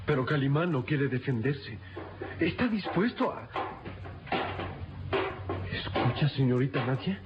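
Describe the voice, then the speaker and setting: A man's voice: mid-range voice, expressive, measured pace, pauses often, natural voice. Man as anxious young sidekick, noisy lo-fi. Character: anxious, loyal young male sidekick of hero. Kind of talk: dramatic radio serial acting. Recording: dull lo-fi radio, heavy noise.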